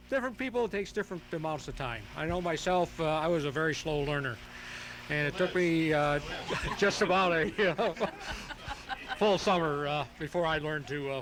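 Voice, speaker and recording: Male hobbyist interviewee, methodical and halting, lightish pitch, faint noise on an outdoor radio tape. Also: a bit bright. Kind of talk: explanatory live radio interview. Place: outdoors at a flying field. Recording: noisy home tape of outdoor radio broadcast.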